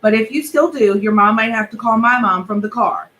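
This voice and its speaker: A woman's voice: a little high, a little fast, fairly bright tone, natural voice. A woman voicing an earnest, literal-minded young boy who rambles in a storybook reading.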